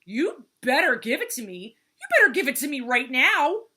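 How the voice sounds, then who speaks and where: A storybook turtle girl in full tantrum mode. The voice is screechy and extremely high, swooping wildly as she whines and shrieks her demands. It is piercingly bright and utterly bratty. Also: big pitch swings, normal speed, heavily acted. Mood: demanding. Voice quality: shrill, screechy. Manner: whining, screaming demands. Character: bratty, tantrum-throwing young female turtle.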